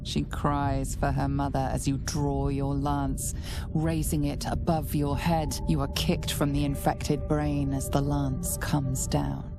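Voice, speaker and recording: A woman narrating a dark fantasy game in a measured, grave, theatrical way, as an ominous storyteller. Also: extremely low pitch, level tone, gravelly, music in the background, heavily acted. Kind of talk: dramatic second-person fantasy game narration.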